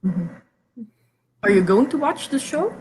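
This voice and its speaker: A young woman from Belgium whose first language is Dutch, hosting a casual reading chat over a video call, chatty and quick to stumble over her first words. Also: a little high, very animated, a little mellow, a little hiss or hum. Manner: chatty, repeats words when starting sentences, laughs often. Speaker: host of reading sprints. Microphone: video call mic.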